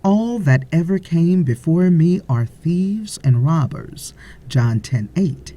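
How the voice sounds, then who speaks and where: A woman narrating a spiritual audiobook in deliberate, measured phrases with pauses. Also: sits low, expressive, warm tone, slight background noise. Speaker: audiobook reader.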